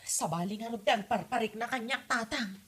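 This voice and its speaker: A woman's voice: on the high side, fairly quick, fairly bright tone, husky. A woman playing a nagging, suspicious middle-aged mother in an audio comedy drama, with an Ilocano Filipino accent and a scolding, questioning manner. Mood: suspicious, complaining.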